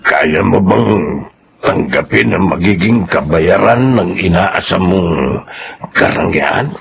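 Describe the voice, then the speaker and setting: A man's voice: deep voice, expressive, slow, gravelly, background noise, exaggerated voice. A man playing a sickly, apologetic old grandfather in a radio drama. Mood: remorseful.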